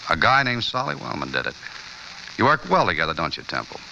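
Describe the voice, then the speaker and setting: A man's voice: fairly low pitch, brisk, gravelly, highs cut off, full character voice. A man acting a terse, brooding American newspaperman in an old radio drama, wry and pressing in his questions. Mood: menacing, sardonic. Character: hard-boiled, brooding newspaperman investigating a young woman's death. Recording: noisy old radio recording, steady hiss.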